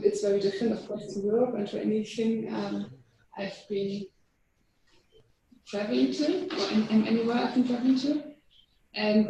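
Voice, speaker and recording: A woman's voice: a little high, darkish tone. Hesitant woman guest speaker, echoey room. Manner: hesitant, with many ums. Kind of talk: informal self-introduction. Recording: echoey room, mic far off, faint noise.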